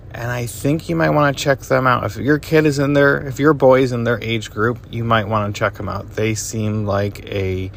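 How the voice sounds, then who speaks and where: A man's voice: lowish voice, creaky, a bit bright, slight background noise. A man hosting a podcast, introducing his guests in an easygoing, conversational way. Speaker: podcast host.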